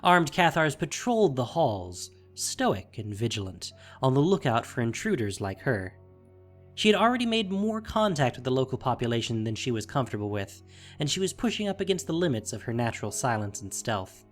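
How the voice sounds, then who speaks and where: Highly expressive male story narrator. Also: moderate pace. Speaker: audio drama narrator. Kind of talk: scripted dramatic fantasy story narration. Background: music.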